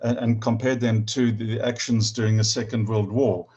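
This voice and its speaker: A man with a South African accent speaking on an online panel, halting, with many restarts and stammers. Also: on the low side, level tone, mellow.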